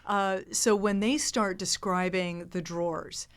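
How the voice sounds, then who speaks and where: A middle-aged woman with a smooth, clear voice, chatting casually on a panel and explaining things emphatically. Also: mid-range voice, steady tone, measured pace. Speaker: improv performer and podcast actor. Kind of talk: casual panel chat, telling anecdotes.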